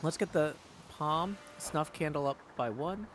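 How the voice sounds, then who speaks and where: A man's voice: on the high side, unhurried, fairly bright tone, a little hiss or hum. A man doing casual live game commentary, thinking aloud and reading game text out as he plays. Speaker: game streamer practicing voice acting.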